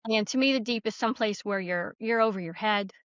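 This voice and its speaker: A woman's voice: mid-range voice, brisk, a bit bright, clean tone, no echo. A middle-aged woman giving an interview as a guest, telling her story in an earnest, candid, reflective way, on slightly lo-fi audio. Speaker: guest author and screenwriter.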